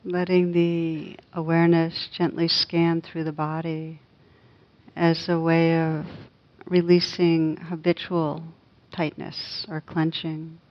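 Female meditation teacher, lowish voice, very slow and gentle delivery with lots of space between phrases, slightly muffled recording. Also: flat delivery. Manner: gentle, unhurried, long pauses between soft phrases. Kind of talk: guided meditation.

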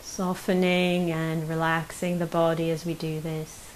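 A woman leading a guided meditation as a teacher, speaking gently and unhurriedly with long pauses. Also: low voice, flat delivery, low-quality recording. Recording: faint hiss behind the voice.

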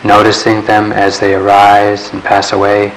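A man leading a guided meditation in a calm, measured way, leaving long pauses between short phrases. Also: fairly low pitch, low-quality recording. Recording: steady background hiss.

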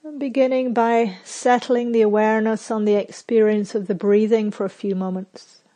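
A woman meditation teacher guiding a sitting meditation in a soft, unhurried way with long pauses. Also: a little high, flat delivery. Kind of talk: guided meditation. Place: retreat center.

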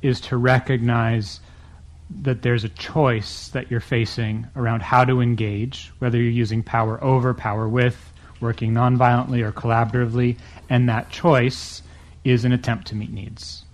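A man's voice: medium pitch, level tone, moderate pace. Man, workshop co-teacher, tentative, restarts sentences. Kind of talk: framing remarks in a live workshop.

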